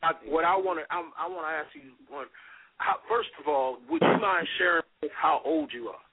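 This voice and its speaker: A husky man in his forties hosts the show in a preacher's rhythm, answering with amens. His voice sits at a middle pitch, and the sound is narrow and phone-like. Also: big pitch swings, normal speed. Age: 40s. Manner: warm preacher's cadence, affirming with amens. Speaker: pastor and radio host. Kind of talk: radio talk show interview. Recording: phone-line radio audio.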